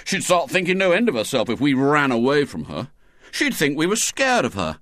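A man's voice: fairly high pitch, huge pitch swings, brisk, fairly bright tone, dry recording, studio quality, voice pushed up. A man voicing a stern schoolboy gang leader who blusters with proud scorn, in a storybook reading. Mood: indignant.

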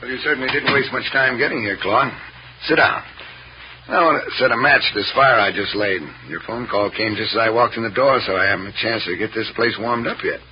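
A man's voice: medium pitch, fairly quick, close to an everyday voice. An actor playing a firm, earnest, protective man of a troubled family in a 1950s American radio serial. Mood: welcoming. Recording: muffled old radio recording with noise.

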